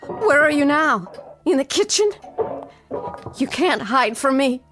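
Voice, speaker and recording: A woman's voice: high-pitched, sing-song, takes it slowly, fairly bright tone, faint music behind, exaggerated voice. An actress playing a determined, frightened fiancée in a radio drama, intense and dramatic, drawing out her phrases. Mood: defiant, confrontational. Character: determined, frightened long-waiting fiancée, pleading then defiant.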